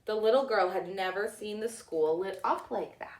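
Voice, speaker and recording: A woman narrating a children's storybook as a teacher reading aloud, with deliberate, expressive delivery. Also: medium pitch, distant mic. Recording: echoey room, away from the mic.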